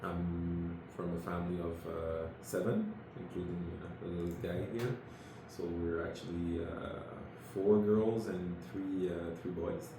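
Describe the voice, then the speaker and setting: Interview guest: man, low voice, slow and searching, very echoey room with steady background noise. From Senegal. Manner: unhurried, searching for words. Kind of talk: casual interview chat.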